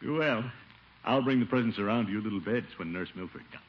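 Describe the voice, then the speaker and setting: An actor playing a pompous, excitable middle-aged American bachelor in a theatrical, exclamatory old radio sitcom style. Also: male voice, lowish voice, a little fast, lightly acted. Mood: hearty. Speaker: lead comic actor. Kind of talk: 1940s-50s radio sitcom acting. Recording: dull, hissy old radio recording.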